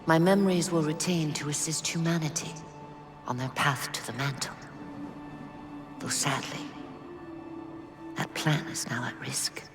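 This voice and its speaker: A woman's voice: deep voice, a little slow, pauses often. A woman voicing a solemn, wise, ancient alien spirit in a video game, stately and formal. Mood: grave. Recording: clear music behind.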